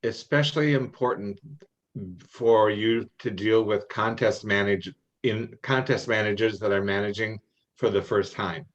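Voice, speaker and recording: A middle-aged man giving informal, folksy advice in an online meeting, halting and repeating himself as he explains. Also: on the low side. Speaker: experienced contest judge and adviser. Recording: muffled, band-limited online meeting audio.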